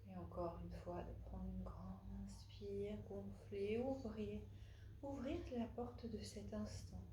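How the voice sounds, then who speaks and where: A woman leading a guided relaxation as a yoga nidra instructor, speaking haltingly with long pauses and frequent hesitations. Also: mid-range voice, fairly even intonation, warm tone. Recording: roomy echo, faint background noise.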